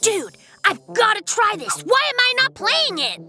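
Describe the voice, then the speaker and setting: A woman voicing an excitable young male polar bear cub who talks like a slangy, dramatic gamer in a children's cartoon. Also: very high voice, unhurried, music in the background, exaggerated voice. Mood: excited, impatient. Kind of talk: children's animated series dialogue.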